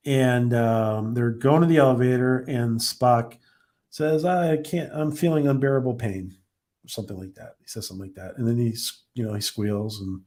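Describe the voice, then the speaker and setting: A man's voice: medium pitch, darkish tone. A man hosting a casual live stream, rambling with many fillers and restarts while his voice starts to go. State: losing his voice. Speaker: live-stream host reviewing a TV episode. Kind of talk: casual live-stream chat reading viewer comments.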